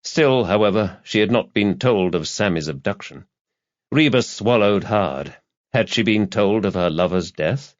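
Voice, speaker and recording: A man's voice: fairly low pitch, animated, unhurried, dry recording. A man narrating a crime thriller audiobook in a scripted, dramatic storytelling style. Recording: slightly dull, lo-fi audio.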